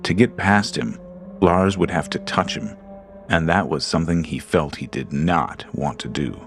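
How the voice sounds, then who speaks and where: A man reading a horror story aloud in slow, deliberate, scripted narration. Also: deep voice, gravelly. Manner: slow, deliberate, ominous delivery.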